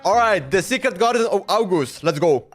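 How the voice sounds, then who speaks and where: Casting: male streamer, twenties, high voice, casual speculative commentary, faint music behind. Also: animated, normal speed. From Slovenia. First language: Slovenian. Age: 20s. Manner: musing aloud, speculative, repetitive. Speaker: game livestreamer. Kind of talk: casual live reaction commentary.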